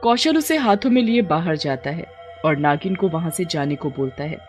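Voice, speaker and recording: A woman's voice: deep voice, expressive, moderate pace, faint music behind. A woman reading a scripted story as its narrator.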